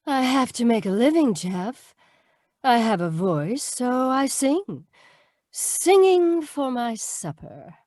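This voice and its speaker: A woman's voice: a little high, big pitch swings, slow pace, a little mellow, no room sound, clean studio sound. A woman playing a selfish, scheming cafe singer with expensive tastes, world-weary, in old-time radio drama style. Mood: coy, dissatisfied.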